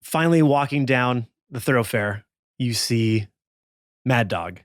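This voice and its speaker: A man working as game master, improvising suspenseful narration for a tabletop western in a halting, lingering way. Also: medium pitch, lively intonation, no room sound, clean studio sound.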